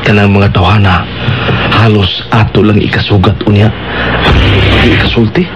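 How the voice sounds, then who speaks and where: Radio drama role, male actor: grieving Cebuano man, insistent and embittered, lowish pitch, dull lo-fi broadcast sound. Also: level tone, moderate pace, natural voice. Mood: insistent, brooding. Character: grieving, embittered man siding with vigilantes against criminals. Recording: dull lo-fi radio broadcast under loud music. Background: loud dramatic background music.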